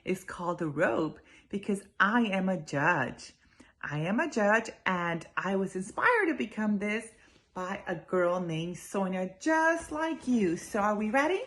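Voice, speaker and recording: A woman reading a children's story aloud in an expressive, emphatic way, speaking directly to her young listeners. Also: mid-range voice, measured pace.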